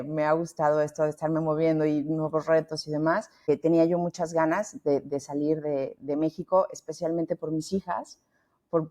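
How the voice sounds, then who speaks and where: Lively woman with a Mexican accent. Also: sits low. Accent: Mexican Spanish. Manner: lively, talkative, many restarts. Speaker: founder and guest. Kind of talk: podcast interview.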